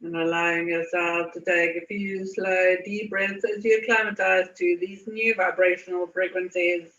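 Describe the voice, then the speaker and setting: A woman leading a guided meditation in a soothing manner, with unhurried pauses and repeated phrases. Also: lowish voice, little pitch movement, fairly bright tone. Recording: muffled, echoey, distant from mic.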